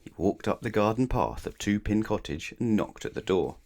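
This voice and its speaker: A man reading a children's bedtime story aloud as narrator, expressively, with dramatic pauses and questions to the listener. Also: lowish voice, lively intonation, a little mellow.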